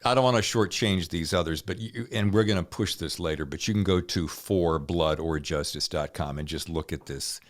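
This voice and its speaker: A man's voice: sits low, moderate pace, hardly any pauses. A man hosting a podcast, chatting informally and enthusiastically with his guests and jumping in as he goes. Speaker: podcast host. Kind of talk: interview chat.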